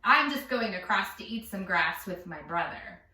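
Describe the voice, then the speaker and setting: A woman's voice: medium pitch, expressive, moderate pace, bright, forceful tone. A woman voicing a polite, crafty middle billy goat who coaxes formally in a children's story. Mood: polite, coaxing. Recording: roomy echo, distant mic, music.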